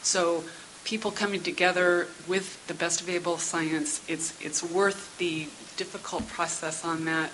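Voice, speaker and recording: A middle-aged woman giving prepared comments at a public council meeting in a measured, businesslike, formal but conversational way. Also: on the low side, fairly even intonation, a bit bright, poor audio. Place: indoor meeting room. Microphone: gooseneck table microphone. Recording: hissy meeting-room sound.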